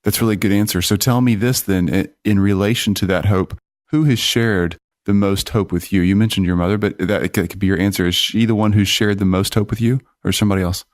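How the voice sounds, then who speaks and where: A man's voice: on the low side, fairly even intonation, quick pace, no echo, clean studio sound. A man hosting a podcast, chatting informally as he puts interview questions to a guest. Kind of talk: casual conversational interview.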